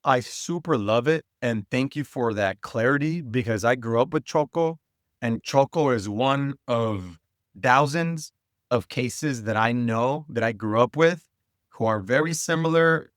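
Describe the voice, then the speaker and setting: A man's voice: mid-range voice, a little slow, no echo, studio quality. A man from Southern California telling personal stories as an interview guest, in an informal and earnest conversational way. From Santa Ana, California. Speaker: interview guest, youth soccer advocate.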